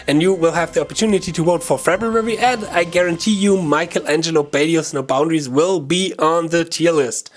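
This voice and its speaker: Man, German accent, guitar teacher, slightly high voice, informal chatty delivery, halting self-corrections, music behind. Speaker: guitar teacher and video channel host. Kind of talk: casual video lesson talk to viewers.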